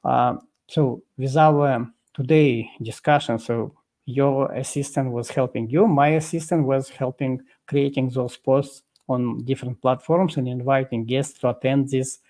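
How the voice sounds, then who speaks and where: A man being interviewed as a guest over a video call, explaining at length in a halting way full of fillers and restarts. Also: mid-range voice, steady tone, darkish tone. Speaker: guest expert.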